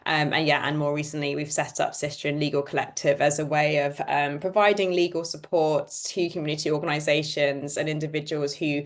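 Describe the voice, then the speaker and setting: A woman's voice: deep voice, flat delivery, a little fast, few pauses. A woman lawyer presenting at an online workshop over a video call, friendly and chatty, often saying "like". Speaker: lawyer and guest speaker. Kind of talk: casual presentation.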